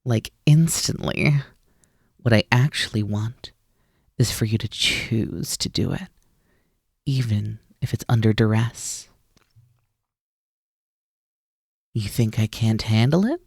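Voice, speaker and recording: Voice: mid-range voice, unhurried, vocal fry, darkish tone, husky, no room sound, studio quality. A performer in an ASMR roleplay voicing a mischievous, teasing naga snake-demon roommate who coaxes playfully and likes to be in charge. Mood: smug, teasing.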